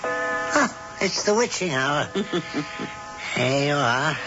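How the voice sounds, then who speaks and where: An actor playing an elderly warlock who keeps a boardinghouse, chatty and homey, in a 1970s radio drama. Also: male voice, a little high, expressive, very slow, music in the background. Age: older. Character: elderly male warlock, cozy folksy boardinghouse keeper. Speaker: warlock husband. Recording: dull lo-fi old radio broadcast.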